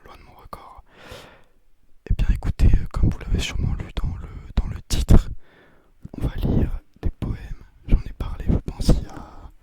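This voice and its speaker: A young man whispers breathily into a close microphone in a casual ASMR style, rambling hesitantly and talking himself down. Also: mellow. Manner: hesitant, rambling, self-deprecating. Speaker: ASMR video creator. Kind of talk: ASMR, casual chat to viewers. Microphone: close, sensitive microphone with occasional breath puffs and bumps.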